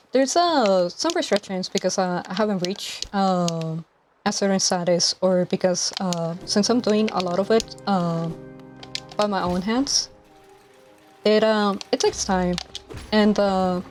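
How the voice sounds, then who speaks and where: A young woman in her twenties chats casually on a live stream while she plays. She speaks softly and hesitantly, with many fillers. Her mic is clipped to her collar, and faint game music runs underneath. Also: medium pitch. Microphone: lapel mic clipped to collar.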